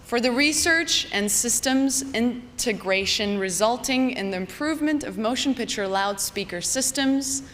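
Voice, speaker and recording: A woman's voice: medium pitch, fairly bright tone. A woman awards presenter reading scripted citations aloud to a formal gathering. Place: large hall at awards ceremony. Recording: echoey hall PA, background noise.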